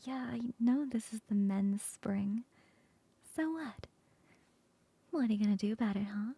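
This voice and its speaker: A woman's voice: fairly high pitch, unhurried, husky, no room sound. A woman playing a teasing whispering coworker. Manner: soft whispering, playful teasing. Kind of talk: ASMR roleplay.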